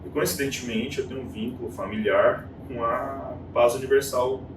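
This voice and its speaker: A Brazilian man in his thirties with a Brazilian Portuguese accent, a guest chatting casually in an interview and telling his story with animated, acted-out quotes. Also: mid-range voice, normal speed. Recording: echoey room, distant mic, noisy.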